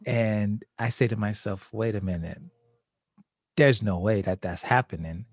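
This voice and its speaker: A man's voice: fairly low pitch, a little slow, darkish tone, no room sound, studio quality. A middle-aged man hosting a casual podcast, telling stories animatedly and acting out quotes with laughing asides. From Haiti. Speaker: podcast host, social worker.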